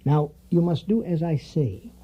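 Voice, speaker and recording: An actor playing a stern, commanding male professor in an audio drama, speaking in a solemn, formal, deliberate way. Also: fairly high pitch, animated, husky. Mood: solemn instruction. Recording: dull, muffled audio drama recording.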